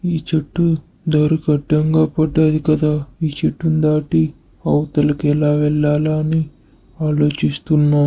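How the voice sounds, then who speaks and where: A woman voicing a naive, gullible, slow-witted talking watermelon in an animated moral story. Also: low voice, gravelly, slightly rough, heavily acted, rough recording. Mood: puzzled.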